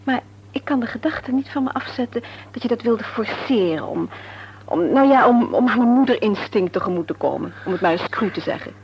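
An actress playing a sensible, frank and caring wife in a radio serial, reasoning earnestly and gently chiding. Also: female voice, on the high side, lively intonation, brisk, highs cut off. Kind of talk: Dutch radio serial drama acting. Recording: noisy old radio recording.

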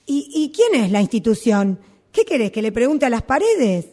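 A woman's voice: fairly high pitch, big pitch swings, normal speed, a little mellow. A woman with a Rioplatense accent playing an indignant employee who confronts her boss with insistent questions, in amateur radio theatre. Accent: Rioplatense Argentine Spanish.

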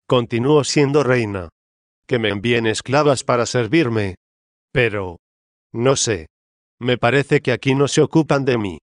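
Hombre leyendo a una reina altiva de ultratumba. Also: male voice, medium pitch, a little slow, dry recording, clean studio sound, close to an everyday voice. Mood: haughty. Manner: disdainful, imperious. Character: haughty dead queen's spirit, woman, proud, scornful.

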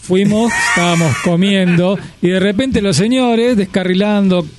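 A man's voice: sits high, fairly even intonation, normal speed. A man with an Argentine accent, chatting casually and jokingly on a radio show. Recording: loud music under the voices. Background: music.

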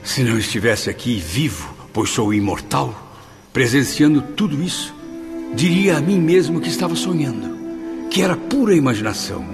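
Man playing humble spirit telling his story, radio drama, mid-pitched, reverent and earnest, music loud behind. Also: animated, moderate pace, natural voice. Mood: awestruck. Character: humble, awestruck male spirit narrating his memoirs.

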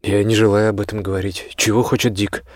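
A man's voice: lowish voice, flat delivery, brisk, dry recording, clean studio sound, close to an everyday voice. A man voicing a sick, exhausted and stubborn young earl in an audiobook, speaking in a weary, curt way. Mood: weary, defensive.

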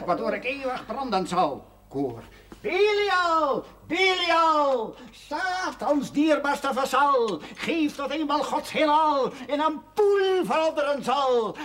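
A man's voice: very high-pitched, bright, forceful tone, full character voice. An actor playing an earnest amateur playwright who declaims his pompous religious verse in a 1970s television drama. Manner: theatrical declaiming, hesitant between recitations. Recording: noisy 1970s television soundtrack.

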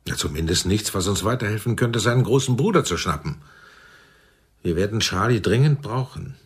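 A blunt intelligence chief in a radio drama. His voice is low and husky, and he sounds determined. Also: animated, a little fast. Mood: urgent, determined. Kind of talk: German radio play acting.

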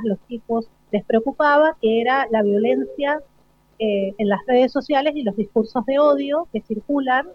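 A woman's voice: a little high, no room sound. An Argentine woman speaking as a university lecturer, explaining articulately and off the cuff in an interview. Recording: dull, dry live radio sound.

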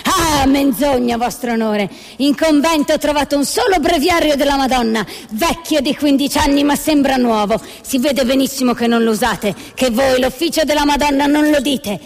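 A woman's voice: high voice, normal speed, few pauses, faint music behind, lightly acted. A woman playing a pompous, exasperated male church inquisitor in a satirical puppet play, accusatory and formal. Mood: indignant. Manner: accusatory, indignant, formal courtroom address. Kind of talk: satirical stage puppet theatre. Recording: reverberant theatre hall.

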